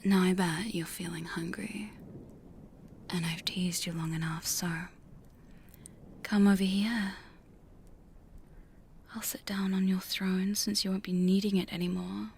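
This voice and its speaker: A woman's voice: mid-range voice, flat delivery, darkish tone, slight background noise. A woman playing a teasing, domineering female vampire hunter in a mostly whispered, seductive ASMR roleplay. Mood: bossy, condescending. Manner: slow, breathy, seductive, commanding. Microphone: close studio condenser microphone.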